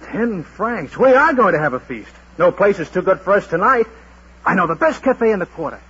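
An actor playing a brash, boastful, cheerful sewer worker in a golden age radio drama, declamatory and bossy. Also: male voice, high voice, sing-song, brisk, a bit bright, husky, full character voice. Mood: exuberant, boastful. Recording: muffled vintage radio broadcast with noise.

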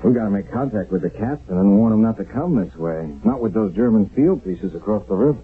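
A troubled wartime American sergeant, speaks low and wearily in the manner of seventies radio drama. The sound is muffled and narrow, like an old broadcast. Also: male voice, expressive, brisk. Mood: grim, troubled. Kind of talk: 1970s radio drama acting.

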